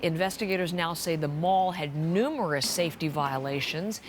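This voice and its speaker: A woman working as a news anchor, presenting and putting questions in a grave, measured way. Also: lowish voice. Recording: noticeable background noise.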